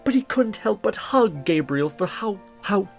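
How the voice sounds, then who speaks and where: A man narrating a holiday audio drama as a kindly storyteller, in a measured, grandiose, theatrical way. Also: sits high, expressive, soft background music. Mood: warm storytelling.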